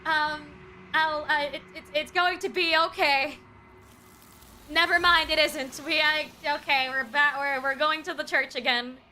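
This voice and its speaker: A woman's voice: high voice, steady tone, measured pace, sharp tone, a little hiss or hum, low-quality recording. A woman livestreaming a game, reacting expressively and hesitantly and reading lines aloud. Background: game music.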